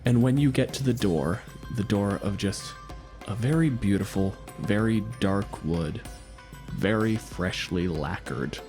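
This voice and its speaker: Man, game master, low-pitched, chatty laid-back narration, faint music behind. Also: expressive, measured pace, a little mellow.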